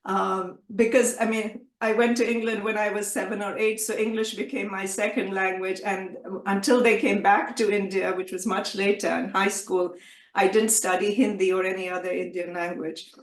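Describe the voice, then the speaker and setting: A middle-aged woman, a native Kashmiri speaker from Kashmir, talks through her answers slowly and thoughtfully. She stops often to find her words and restarts her sentences. Her voice sits in an even middle pitch, and it rings around a roomy, echoing space. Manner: measured, hesitant, many fillers and restarts. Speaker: interview guest. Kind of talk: conversational interview.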